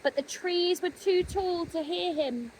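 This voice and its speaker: A woman narrating a children's story expressively, with gentle dramatic pauses. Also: extremely high pitch, a little hiss or hum, poor audio. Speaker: storybook reader.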